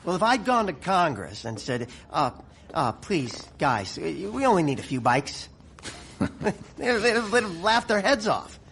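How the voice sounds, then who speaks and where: A man's voice: high-pitched, big pitch swings, a little slow, gravelly, a bit bright, slight background noise, full character voice. An actor playing a blunt, blustering middle-aged American general in a radio drama, folksy and profane, tripping over his words. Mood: incredulous, mocking. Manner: stammering, exasperated, profane, folksy.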